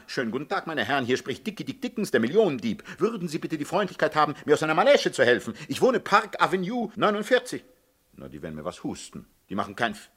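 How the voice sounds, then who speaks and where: Cocky gangster boss, very animated. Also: male voice, mid-range voice. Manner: ironic, smooth-talking, self-assured. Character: cocky, coolly commanding male gangster boss and master thief. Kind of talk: comic crime radio drama acting.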